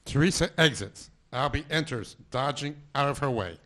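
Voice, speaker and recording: Male narrator for stage directions, plain and even. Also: medium pitch, moderate pace.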